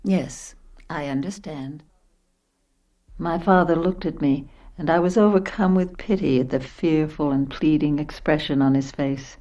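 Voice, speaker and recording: An actress playing a composed, educated woman in a radio play, speaking in a measured, reflective way. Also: low-pitched, warm tone, muffled recording. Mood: guarded, questioning. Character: composed, educated woman narrating, inhabiting a poor daughter. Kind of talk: radio play narration and dialogue.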